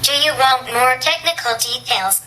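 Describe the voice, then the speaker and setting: A synthetic female voice playing a small, proud humanoid robot that speaks in an even, scripted way. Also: high-pitched, moderate pace. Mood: boastful. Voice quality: synthetic, evenly paced. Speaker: robot giving a presentation. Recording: noisy live room.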